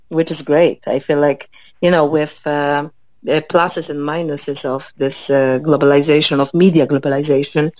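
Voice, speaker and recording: A low-pitched woman with a Polish accent speaks as an interview guest, taking her time and filling gaps with small hesitations. The sound is close and dry, with no room around it. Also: a little mellow, no room sound, rough recording. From Poland. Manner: hesitant, many fillers, thoughtful. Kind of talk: conversational interview.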